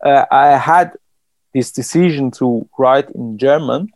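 A man from Iran whose first language is Persian speaks as a writer in an interview. His voice is gravelly and sits at a middle pitch, recorded dry and close. He talks thoughtfully and haltingly, with frequent restarts. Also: darkish tone, no room sound.

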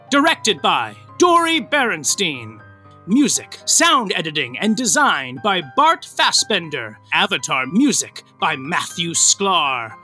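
An arch male soap-opera announcer in a comic audio drama. The delivery is highly animated and bright, over light music. It is theatrical and mock-grand, treating every line as a gushing flourish. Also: heavily acted. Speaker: narrator. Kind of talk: scripted comic narration and credits.

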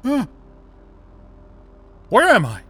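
Frightened male captive, very high and strained. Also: wildly swooping pitch, very slow, a bit bright, slightly rough, full character voice. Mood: confused, panicked. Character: frightened, confused male captive waking from sedation. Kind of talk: audio drama acting.

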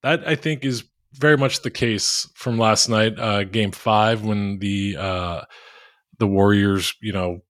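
Dry-sounding man with a flat monotone. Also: on the low side, takes it slowly, no room sound. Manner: rambling, self-mocking, crude jokes. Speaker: podcast co-host. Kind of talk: casual podcast chat.

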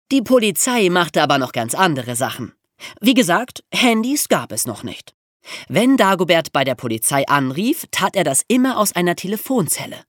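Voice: medium pitch, a bit bright, dry recording, studio quality. A podcast host telling true crime stories for young listeners in an amused, colloquial way.

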